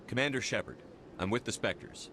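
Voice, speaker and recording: An actor playing a stern military commander in a video game, blunt, terse and no-nonsense. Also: male voice, mid-range voice, normal speed, bright, forceful tone, a little hiss or hum, natural voice. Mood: curt.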